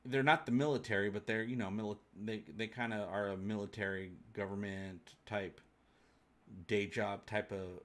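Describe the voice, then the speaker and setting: A man's voice: lowish voice, fairly even intonation, normal speed, fairly bright tone. A man hosting a fan livestream, chatting casually and answering viewers in a rambling, jokey, colloquial way. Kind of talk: casual chat, answering live viewer comments.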